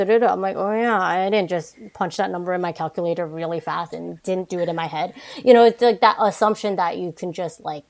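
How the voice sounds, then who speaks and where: Chatty young woman, lowish voice. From New York. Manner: frequent restarts and "like", animated asides. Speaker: podcast guest. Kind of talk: casual interview conversation.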